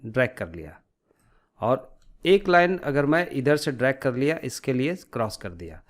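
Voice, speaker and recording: A man's voice: medium pitch, very animated, normal speed. A man giving an informal step-by-step tutorial, explaining by asking and answering his own questions and mixing in English technical terms. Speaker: tutorial instructor.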